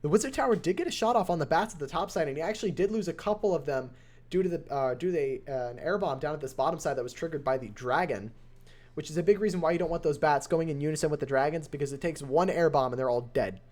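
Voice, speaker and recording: A young man with a voice going hoarse, calling a mobile strategy game match on his live stream. His play-by-play is excitable and builds to near shouts at close finishes. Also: a little high, fast talker. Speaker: esports caster and streamer.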